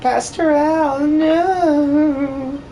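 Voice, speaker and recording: A man's voice: very high-pitched, fairly even intonation, extremely slow, warm tone, a little hiss or hum, pitched above the performer's own voice, exaggerated voice. A man voicing a distraught young farm girl, a devoted apprentice in a fantasy game fan dub, pleading and stammering. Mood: grief-stricken.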